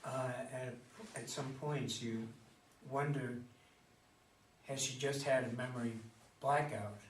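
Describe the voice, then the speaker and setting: A man in a casual group discussion, halting and searching for his words. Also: mid-range voice, fairly even intonation. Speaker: audience member in discussion. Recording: roomy, distant, faint noise.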